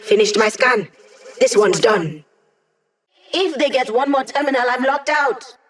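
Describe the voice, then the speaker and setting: A woman's voice: high voice, animated, a little slow. A wry young hacker woman. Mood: urgent, businesslike. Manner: dry, teasing, sardonic. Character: wry young female hacker living as data. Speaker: video game voice actor. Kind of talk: video game character dialogue, mission comms.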